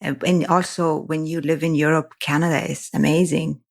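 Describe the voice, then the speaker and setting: German-accented woman with a gravelly voice. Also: deep voice, steady tone. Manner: halting, restarts phrases, frequent agreeing yeahs. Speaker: guest, real estate investor. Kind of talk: casual interview chat.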